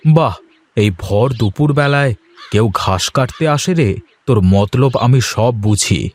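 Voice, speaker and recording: A man's voice: mid-range voice, expressive, unhurried, darkish tone, natural voice. A man voicing an admonishing, plain-spoken village father in a cartoon. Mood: grumbling, accusing. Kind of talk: cartoon dialogue acting.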